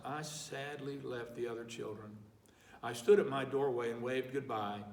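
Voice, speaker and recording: A man reads a picture book aloud with slow, deliberate, expressive pacing. His pitch is middling. He sounds set back from the mic, in a roomy space with noticeable echo. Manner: slow, deliberate, expressive, clears his throat between pages. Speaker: parent volunteer reader. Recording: echoey room, mic far off.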